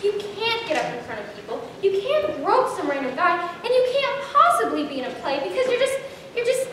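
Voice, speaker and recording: A woman's voice: extremely high pitch, big pitch swings, fairly quick, a bit bright, heavily acted. A teenage female student acting a painfully shy, flustered, stammering girl in a readers theater monologue. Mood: flustered, indignant. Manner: stammering, repeats words. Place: large hall, on stage before an audience. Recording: echoey hall, distant mic, faint noise.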